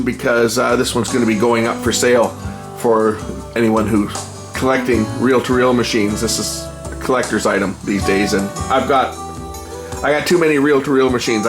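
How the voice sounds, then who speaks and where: A mid-pitched man talks through a hands-on repair job in a casual, unscripted way. He rambles and thinks aloud as he works, with clearly audible music in the recording. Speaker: repair hobbyist presenting a video.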